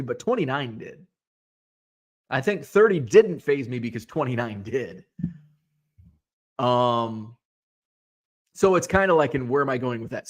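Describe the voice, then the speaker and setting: A man in his forties, a sports card seller hosting his own show, thinking aloud in casual, rambling solo talk full of restarts. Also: mid-range voice.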